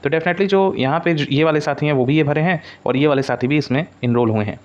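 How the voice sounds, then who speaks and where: A man's voice: a little high, fast talker. A man giving an informal explanatory update as a coaching presenter, mixing in English words and repeating points for emphasis. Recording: dull, lo-fi audio.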